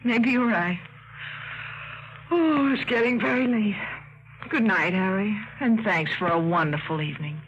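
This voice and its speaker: A woman's voice: medium pitch, measured pace, pauses often, lightly acted. An actress playing a coy, wistful young woman, a romantic companion, in a 1950s radio drama. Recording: noisy, muffled 1950s radio recording.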